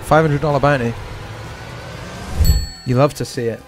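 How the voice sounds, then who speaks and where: A man's voice: medium pitch, fairly even intonation, moderate pace, soft background music. A man hosting a poker stream, talking through each hand aloud and repeating short catchphrases. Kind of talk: live poker stream commentary.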